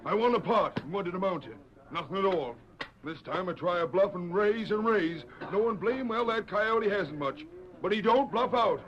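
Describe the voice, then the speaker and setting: A greedy, suspicious banker from an old radio western, with a high-pitched voice. He blusters and accuses, quick to anger. The recording is muffled and vintage. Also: animated, a bit bright. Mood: angry, suspicious.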